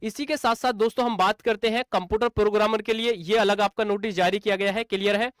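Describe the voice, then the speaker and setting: A man's voice: sits high, fairly even intonation, fast, clear, resonant tone, no echo, clean studio sound. A man teaching in an explainer video, repeatedly asking and answering his own questions and calling viewers friends. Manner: repetitive, asks and answers own rhetorical questions; addresses viewers as friends and brother. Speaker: exam coaching teacher.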